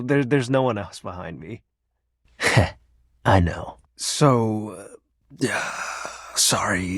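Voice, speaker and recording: Shy young male game character, sentimental and earnest; slightly husky, unhurried delivery, dry studio sound. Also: medium pitch, no room sound, heavily acted. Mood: shy, confessing. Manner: hesitant, soft-spoken, earnest. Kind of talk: video game character voice acting.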